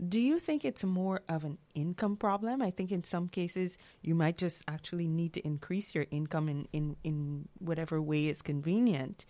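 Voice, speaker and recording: A woman's voice: lowish voice, normal speed, hardly any pauses, mellow. A woman interviewing in a conversational, encouraging way, affirming her guest as she asks questions.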